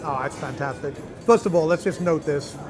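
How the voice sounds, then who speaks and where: A man with a high voice hosts a food show and talks through each bite of barbecue with keen, descriptive enthusiasm. He is recorded outdoors in a city, with music playing clearly behind him. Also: fairly quick. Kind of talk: food tasting commentary.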